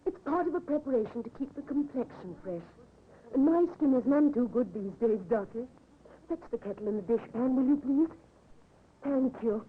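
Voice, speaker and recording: An actress playing a composed, genteel wife in a 1950s radio drama, speaking politely and with quiet command. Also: female voice, high voice, expressive, normal speed. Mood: coolly commanding. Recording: muffled, tinny old radio recording with hiss.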